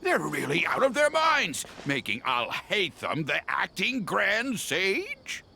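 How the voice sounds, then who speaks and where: A man voicing a pompous, indignant old professor with a creaky, theatrical delivery in a video game. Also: high-pitched, very animated, slow pace, bright, forceful tone, a little hiss or hum, exaggerated voice. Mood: outraged. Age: older. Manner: blustering, theatrical.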